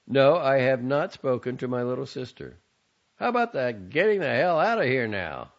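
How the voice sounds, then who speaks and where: A man's voice: medium pitch, sing-song, normal speed, voice pushed up. A man reading aloud and voicing a sarcastic, short-tempered young man who swears and mocks. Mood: irritated. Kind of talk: audiobook character voice. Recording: dull lo-fi recording.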